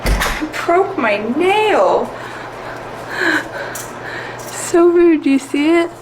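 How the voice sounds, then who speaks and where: A woman's voice: very high voice, very animated, drawn-out words, pitched above the performer's own voice, heavily acted. A woman voicing a whiny, melodramatic young female patient who squeals and complains, in a noisy recording. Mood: indignant.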